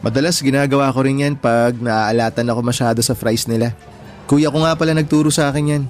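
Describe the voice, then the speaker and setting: An actor playing a modest, insecure working man on a date in a radio drama, gentle and self-effacing, with a Filipino accent. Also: mid-range voice, fairly even intonation, fairly quick, faint music behind, close to an everyday voice. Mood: casual, friendly. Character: modest, insecure janitor courting a woman.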